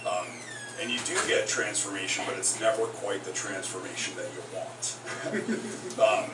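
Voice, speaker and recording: Guy songwriter talking low between songs, lots of ums, echoey hall, mic far off, loud noise. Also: fairly even intonation. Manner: rambling, halting, many fillers. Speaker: touring songwriter. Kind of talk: casual onstage chat between songs. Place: concert house, live in front of an audience. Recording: roomy live hall, distant, noisy.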